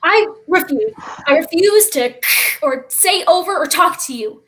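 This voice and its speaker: A woman's voice: extremely high pitch, expressive, unhurried, fairly bright tone, exaggerated voice, poor audio. A woman playing a needy, defiant, restless child in a staged play reading.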